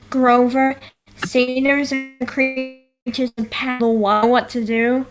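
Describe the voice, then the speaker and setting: A woman's voice: high voice, low-quality recording. A woman playing a bossy young strategist, daughter of a wisdom goddess, exasperated and commanding in a musical theatre table read.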